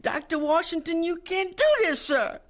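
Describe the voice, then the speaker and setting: A woman's voice: very high-pitched, steady tone, normal speed. A woman playing a devoted, plain-spoken young student pleading earnestly in an audio drama. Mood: sorrowful pleading.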